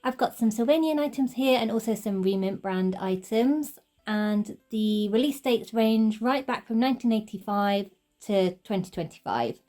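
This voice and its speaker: Chatty woman with a mellow, cold-worn voice. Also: mid-range voice, animated, moderate pace, faint music behind. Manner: chatty, enthusiastic, gushing over tiny details. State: slightly off voice while recovering from a cold. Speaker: toy collector channel host. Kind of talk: casual show-and-tell collection update.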